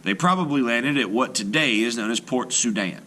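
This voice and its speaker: A man reading scripted narration like a teacher, measured and explanatory, for young listeners. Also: mid-range voice, sharp tone, slight background noise. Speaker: storyteller and teacher. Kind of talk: scripted read-aloud narration.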